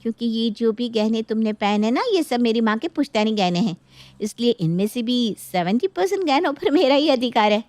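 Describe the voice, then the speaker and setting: Woman playing greedy, domineering younger sister for a cartoon moral tale; high voice, mock-polite taunts, dry close recording. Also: lively intonation, moderate pace, a little mellow, no room sound, lightly acted. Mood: haughty, demanding. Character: greedy, bossy younger married sister, taunting her family. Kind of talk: dramatized Hindi moral story acting.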